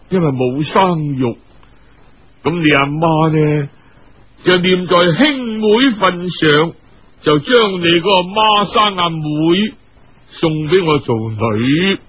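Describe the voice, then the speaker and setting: A man acts out a kindly old Cantonese gentleman in a told story. He speaks slowly and haltingly, with sighs and exclamations. The voice is husky and comes through a dull, lo-fi recording. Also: fairly high pitch, expressive, voice pushed down, exaggerated voice. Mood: sorrowful, moved. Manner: sighing, halting, stammering, exclamatory. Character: kindly old gentleman, emotional long-lost uncle.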